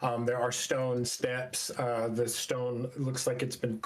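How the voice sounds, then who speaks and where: Casual, halting man running a tabletop game. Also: medium pitch, little pitch movement. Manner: halting, casual, searching descriptions. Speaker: game master. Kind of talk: narrating a tabletop roleplaying game.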